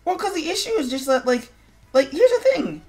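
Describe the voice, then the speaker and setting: A woman's voice: high-pitched, sing-song, fairly quick, a little mellow, soft background music. An American woman streaming casual, opinionated chat in a sarcastic, blunt manner. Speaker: streamer, show host.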